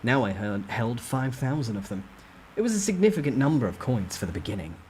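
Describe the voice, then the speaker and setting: A man's voice: medium pitch, big pitch swings, fairly quick, noisy background. A man giving a dramatic first-person storytime reading of a novel. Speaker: narrator reading a novel aloud.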